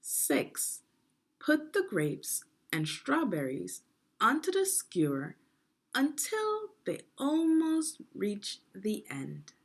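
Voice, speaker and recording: Slow, expressive woman reading to children. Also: high-pitched. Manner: instructive, deliberate phrasing, prompting questions. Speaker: reader of a children's instructional book, teacher. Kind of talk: read-aloud guided reading lesson.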